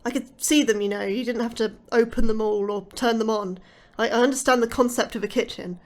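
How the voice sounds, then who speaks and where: An actress playing an anxious, earnest astrobiologist in an audio drama, speaking in a polite, hesitant and questioning way. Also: female voice, fairly high pitch, steady tone, a little fast, lightly acted. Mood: mildly peeved. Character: anxious, earnest woman astrobiologist, newly arrived. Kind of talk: audio fiction acting.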